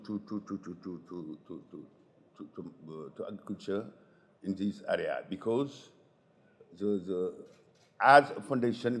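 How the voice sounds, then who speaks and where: A man from Senegal, giving a conference presentation in a halting way and repeating words often. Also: mid-range voice. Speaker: conference speaker. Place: large conference hall. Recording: long hall reverb.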